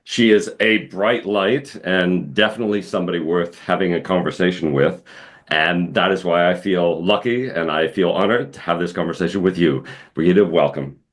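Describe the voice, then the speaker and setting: A man's voice: deep voice, moderate pace. A man hosting a spiritual interview, earnest and musing, often repeating himself. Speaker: host.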